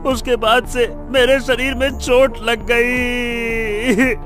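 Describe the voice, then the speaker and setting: A woman's voice: a little high, level tone, very slow, over music. A woman voicing a guilty male wrongdoer in a cartoon moral story, whining and repeatedly begging forgiveness. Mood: remorseful, pleading. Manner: whining, repetitive pleading.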